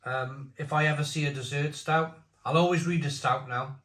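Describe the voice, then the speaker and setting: A man's voice: a little high, steady tone, moderate pace, a bit bright. A man in his forties giving a casual, opinionated beer review, talking bluntly in rambling asides. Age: 40s. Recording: roomy echo.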